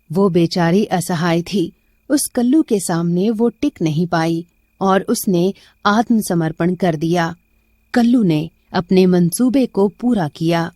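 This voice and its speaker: A woman's voice: fairly low pitch, mellow, dry recording, studio quality. A woman narrating a scripted story as a storyteller.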